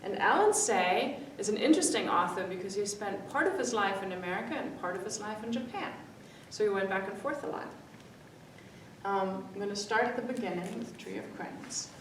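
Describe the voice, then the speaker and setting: A woman's voice: animated, fairly quick. A woman reading aloud to children as a library story-time reader, expressively acting out the dialogue. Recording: echoey room, distant mic, faint rumbly noise.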